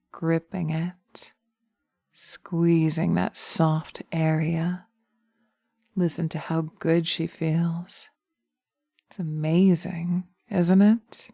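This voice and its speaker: A woman playing a seductive, vengeful witch in slow hypnotic audio roleplay, breathy and often whispering, teasing and commanding, close to the mic. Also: on the low side, mellow, no echo, full character voice. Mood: sultry, teasing. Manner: sultry, drawn-out, teasing, commanding. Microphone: close microphone, dry binaural recording.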